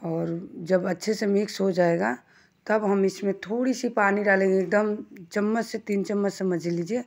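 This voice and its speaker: A woman's voice: mid-range voice, steady tone, normal speed, darkish tone. A woman hosting a home cooking channel, giving chatty step-by-step instructions as she cooks in her kitchen. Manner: chatty and homely, explaining as she works.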